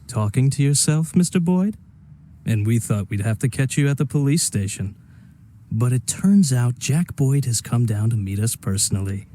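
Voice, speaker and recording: A man voicing a self-satisfied underworld businessman in a video game. His voice is dark and mellow at a medium pitch, urbane and wry with polite menace, over faint ambient noise. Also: animated, normal speed, close to an everyday voice. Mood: wry, courteous. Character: smooth-talking, self-satisfied male crime businessman. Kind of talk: naturalistic video game voice acting.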